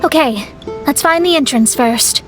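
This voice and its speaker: A woman's voice: high-pitched, measured pace, music in the background. A woman playing a proud, easily flustered young astrologist in an anime-style game, with a self-important manner. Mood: confident.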